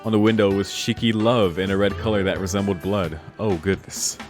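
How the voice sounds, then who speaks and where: Low-voiced man reading a game aloud, music behind. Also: fairly even intonation, fairly quick. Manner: profane, incredulous, jokey reactions. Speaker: streamer playing and reading a visual novel. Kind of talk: casual let's-play, reading text aloud with reactions. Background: guitar music of a game soundtrack.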